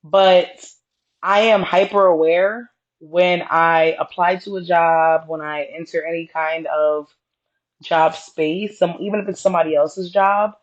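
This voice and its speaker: A woman co-hosting a podcast in casual conversation, with a deeper voice and a reserved, straightforward way of talking. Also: fairly even intonation, a little slow, muffled recording. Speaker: podcast co-host.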